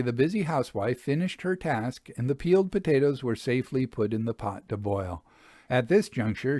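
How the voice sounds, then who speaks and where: A man narrating a Victorian ghost account as a scripted reading, in a measured, genteel manner. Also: medium pitch, mellow, no room sound, clean studio sound. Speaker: narrator reading a book aloud.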